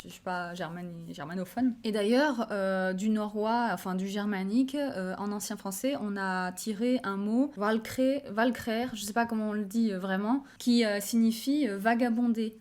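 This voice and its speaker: A woman's voice: mid-range voice, a little mellow. A young woman talking casually about Norse history and myth for her channel, thinking aloud with frequent hesitations. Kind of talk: casual educational commentary.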